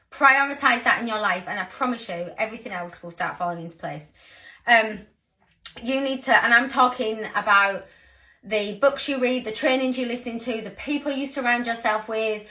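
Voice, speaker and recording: A woman in her thirties with a croaky voice, giving an earnest, rambling motivational talk to her team. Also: medium pitch, bright, forceful tone. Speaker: sales team leader.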